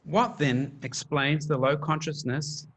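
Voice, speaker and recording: Panelist, male, New Zealand accent, slightly higher voice, earnest prepared delivery. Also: fairly even intonation, takes it slowly, a bit bright, breathy edge. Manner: earnest, emphatic, reads a prepared talk. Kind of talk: academic talk.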